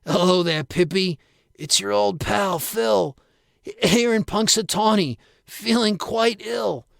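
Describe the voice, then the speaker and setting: A man voicing an ailing, anxious old male groundhog in a storybook rhyme, strained and halting. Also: high voice, creaky, no echo, clean studio sound, voice pushed up, heavily acted. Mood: pleading, sickly.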